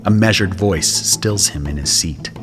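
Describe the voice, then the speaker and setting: A man delivers scripted first-person narration for an audio drama. His voice is very low, and music runs clearly underneath it. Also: measured pace.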